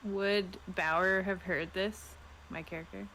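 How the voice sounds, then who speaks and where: A woman's voice: medium pitch, fairly even intonation, takes it slowly, sharp tone. A woman role-player thinking aloud casually and a little hesitantly about what her character does. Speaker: player in a tabletop role-playing game. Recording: home recording with clear background noise.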